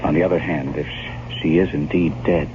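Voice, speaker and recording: A man playing an anxious but resolute gentleman narrator in a radio horror drama, speaking formally and earnestly. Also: extremely low pitch, fairly even intonation, a little fast. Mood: urgent. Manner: earnest, insistent, formal speech. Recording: noisy, muffled old radio broadcast recording.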